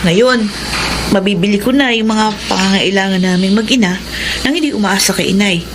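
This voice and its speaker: A woman's voice: mid-range voice, brisk, natural voice. A woman playing an earnest, hopeful young single mother in a Filipino radio drama, speaking respectfully. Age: young adult. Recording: noisy ambience behind voice.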